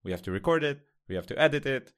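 A man from Israel with an Israeli accent, co-hosting a casual podcast and talking in a halting way with many fillers and restarts. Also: mid-range voice, animated, fairly bright tone. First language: Hebrew. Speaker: podcast co-host.

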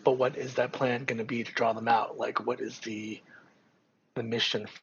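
A man playing a tabletop game over a call, asking quick questions about the plan. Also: mid-range voice, little pitch movement, a little hiss or hum. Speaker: player. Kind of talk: casual chat while planning in a tabletop game. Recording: dull lo-fi mic.

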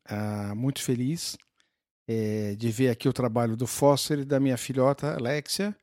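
A man's voice: medium pitch, fairly even intonation, moderate pace, darkish tone, no room sound, clean studio sound. An older man, a guest patiently explaining something, with many fillers and restarts. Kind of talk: casual explanatory conversation.

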